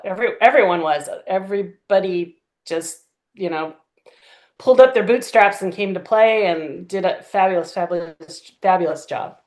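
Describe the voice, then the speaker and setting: A woman's voice: fairly low pitch, normal speed. A woman chatting casually in an interview as the creator of an audio drama, telling stories enthusiastically and acting out little quotes. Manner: enthusiastic, animated storyteller who acts out quotes.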